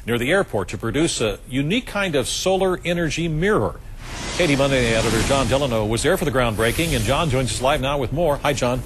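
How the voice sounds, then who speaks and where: A man reading the news as a studio anchor, with a smooth scripted delivery. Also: mid-range voice, expressive, a little fast, noisy background.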